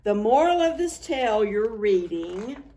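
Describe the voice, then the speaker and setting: A woman reading a picture book aloud to preschoolers as a story time host, expressive and full of exclamations. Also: a little high, a little slow, slight background noise. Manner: expressive, exclaiming, talks to young children. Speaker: children's librarian hosting story time.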